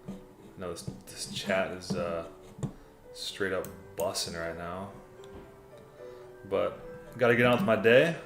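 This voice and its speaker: Laid-back low-voiced man over classical music. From United States. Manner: laid-back, rambling, says "man" and "dude" often. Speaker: livestream host reading chat. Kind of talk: casual livestream chat. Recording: roomy echo, classical music playing behind.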